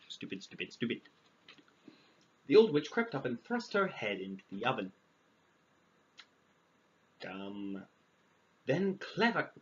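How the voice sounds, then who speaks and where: Deadpan man storyteller on lo-fi audio. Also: mid-range voice. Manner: dry, sarcastic, deadpan. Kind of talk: fairy tale reading with deadpan comic asides. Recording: dull lo-fi audio, clear background noise.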